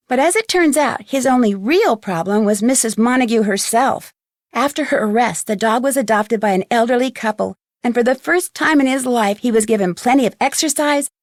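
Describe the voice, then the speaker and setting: A woman's voice: a little high, dry recording, clean studio sound, close to an everyday voice. A woman with an American accent playing a witty teenage girl detective, telling how a case ended in wry, conversational game narration. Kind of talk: scripted game epilogue narration.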